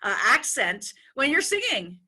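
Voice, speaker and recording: A middle-aged woman from Ontario hosts a radio interview, gushing with enthusiasm for her guest. Her voice is high and bright and carries a forceful edge. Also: very animated, measured pace, breathy edge. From Ontario, Canada. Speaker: radio host.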